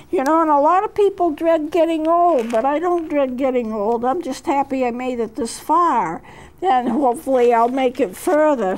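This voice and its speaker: A woman's voice: sits high, darkish tone, low-quality recording. A woman in her eighties, a counselor hosting a talk show, speaking unhurriedly about aging and reading poems aloud, with frequent fillers and restarts. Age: 80s. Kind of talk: reflective talk with read-aloud poetry.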